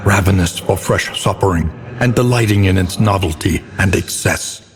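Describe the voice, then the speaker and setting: A man's voice: deep voice, in a large hall, lightly acted. A man playing a grim, brooding game narrator, telling gothic horror tales in a grave, theatrical, ornate manner. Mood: fervent, ominous. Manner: grave, ornate, deliberately dramatic delivery.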